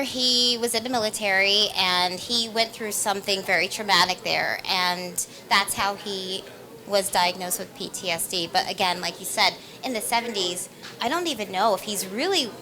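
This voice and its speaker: A woman's voice: medium pitch, normal speed, a crowd in the background. A woman, a stage actress, giving conversational interview answers in an earnest, emphatic manner.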